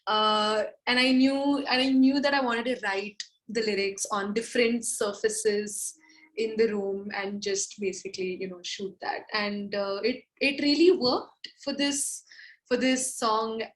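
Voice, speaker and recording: Chatty young woman, higher voice. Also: fairly even intonation, a little slow, poor audio. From India. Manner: chatty, meandering, laughs often. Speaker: singer-songwriter guest. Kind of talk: casual interview chat.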